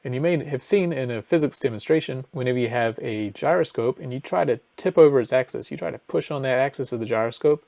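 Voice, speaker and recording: A man's voice: mid-range voice, fast, creaky, hardly any pauses, darkish tone, no room sound. New man explaining over dry audio. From New Zealand. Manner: casual, explanatory, thinking aloud with fillers. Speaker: presenter. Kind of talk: screen-share astronomy explainer.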